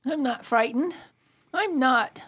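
A woman's voice: high-pitched, takes it slowly, a bit bright, breathy edge, full character voice. A woman playing a small, imaginative boy in a radio play, talking in childlike make-believe. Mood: brave, drowsy. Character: small boy, imaginative, devoted to his dog.

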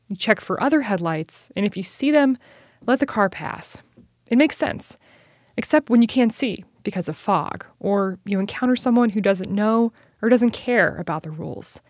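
A woman's voice: medium pitch, dry recording, studio quality. A woman reporter reading scripted narration for a radio feature.

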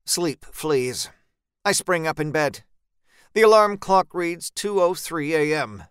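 A man narrates a dark first-person tale with measured tension. His voice is smooth and resonant, sitting a little high for a man. The recording is very dry and close, with every word cleanly shaped. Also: expressive, no room sound. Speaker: story narrator. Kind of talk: dramatic first-person horror audiobook narration.

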